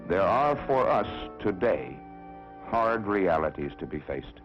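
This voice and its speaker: Deep-voiced American newsreel-style narrator, man. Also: sing-song, a little slow. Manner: solemn, emphatic, declamatory. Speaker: documentary narrator. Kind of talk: 1960s government propaganda film narration. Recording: dull old film soundtrack with music. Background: music.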